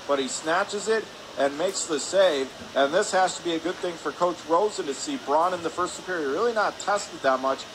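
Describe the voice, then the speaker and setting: A man doing live radio play-by-play of a hockey game in brisk, clipped calls. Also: a little high. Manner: brisk clipped present-tense calls, rising on shots. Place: indoor ice rink. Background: loud arena ambience noise.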